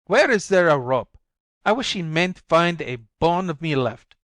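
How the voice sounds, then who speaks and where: A man's voice: fairly high pitch, lively intonation, a little slow, fairly bright tone, no room sound, studio quality, pitched above the performer's own voice. A man reading a story aloud, voicing a worn-out mother in despair, bitter and frantic, rising to screams. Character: desperate, worn-out young mother at breaking point. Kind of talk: audiobook reading.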